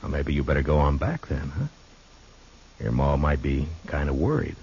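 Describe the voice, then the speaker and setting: An actor playing a firm, patient frontier marshal with an American accent in a 1950s radio Western, authoritative, dry and measured. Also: male voice, a bass voice, sing-song, darkish tone. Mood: patient, easing. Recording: muffled, hissy 1950s radio recording.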